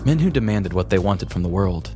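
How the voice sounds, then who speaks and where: A man co-hosting a podcast, telling a scripted comedic story in a deliberate, mock-grandiose way. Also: low-pitched, lively intonation, music in the background. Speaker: podcast co-host.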